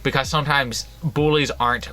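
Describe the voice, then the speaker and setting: A man's voice: medium pitch, fairly even intonation, brisk, bright, forceful tone, a little hiss or hum. A man co-hosting a horror book podcast, chatting casually with many restarts and frequent laughs. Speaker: podcast co-host. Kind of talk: casual chat.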